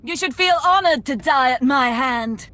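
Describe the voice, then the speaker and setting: A woman's voice: high-pitched, lively intonation, moderate pace, pushed and bright, slight background noise. An actress playing a cruel Sith woman who keeps beasts, speaking in a cold, taunting way in a game cutscene. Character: cruel female Sith beast-tamer, gloating.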